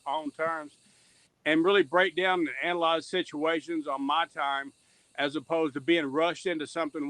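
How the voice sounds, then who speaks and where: A man with a somewhat high-pitched voice talks as a guest on a podcast. He is reflective and explanatory, circling back on phrases to stress them. The recording is dry. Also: fairly bright tone, no room sound. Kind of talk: reflective conversational interview talk.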